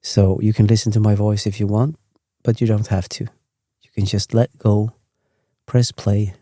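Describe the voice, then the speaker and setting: A man from Sweden who speaks English with a Swedish accent as a second language, hosting a sleep podcast in a rambling, self-correcting, gently chatty way. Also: fairly low pitch, flat delivery, normal speed, no room sound, clean studio sound. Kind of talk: meandering bedtime talk.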